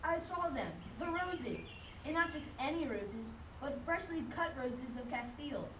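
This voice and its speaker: A woman playing a pushy, excitable friar in a puppet show, exclaiming eagerly and insistently. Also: high voice, a little slow. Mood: amazed, excited. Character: pushy, excitable friar, bishop's nosy male doorkeeper. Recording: echoey, noisy, distant live recording.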